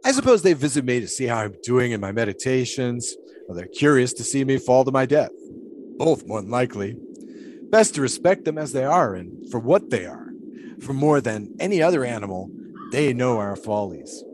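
A man's voice: medium pitch, expressive, moderate pace, background noise, close to an everyday voice. A man playing an eccentric, devout 1920s pole-sitter in an audio drama, musing in folksy, old-fashioned storytelling phrases. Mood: musing, wry.

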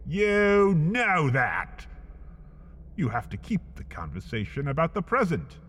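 A man narrating in a dramatic, spooky storyteller manner, a deadpan horror parody. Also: slow pace, a little hiss or hum. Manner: dramatic, spooky storyteller delivery with swooping pitch. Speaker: narrator and host.

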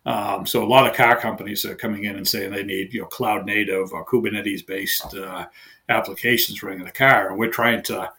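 A man with a heavy Boston accent, a guest engineer explaining his work in a casual interview, halting with many fillers and restarts. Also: on the low side, steady tone, sharp tone. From Boston area, USA.

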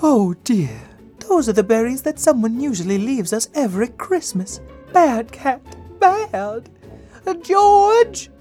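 A man's voice: very high-pitched, big pitch swings, slow, darkish tone, soft background music, pitched above the performer's own voice, heavily acted. A man voicing an exasperated farm wife in a children's story, fretful and scolding. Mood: scolding, dismayed. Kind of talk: acted children's story narration.

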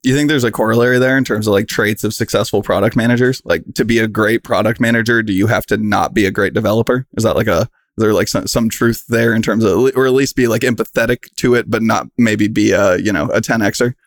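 A man's voice: lowish voice, fairly even intonation, quick pace, few pauses, dry recording. Male podcast host, casual interviewer, meandering questions, dry close sound. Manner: rambling, loosely phrased questions.

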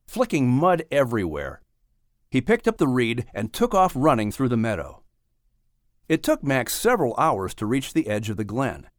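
A man reading a children's story as an audiobook narrator, with expressive, dramatic delivery. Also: medium pitch, no room sound, studio quality.

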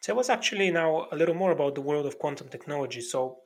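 A man hosting a podcast, reading a formal intro and asking interview questions in a measured way. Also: fairly high pitch, animated. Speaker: podcast host.

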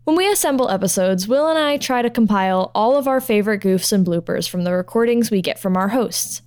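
A woman's voice: medium pitch, expressive, brisk, a little mellow. A woman reading a scripted podcast introduction as the show's line producer. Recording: voice over music. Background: music.

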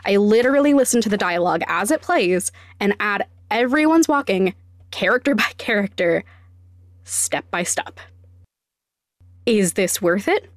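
Woman, podcast host, scripted explainer, mid-pitched, wry, self-deprecating, dry recording. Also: lively intonation, unhurried, dry recording. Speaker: podcast host and sound designer.

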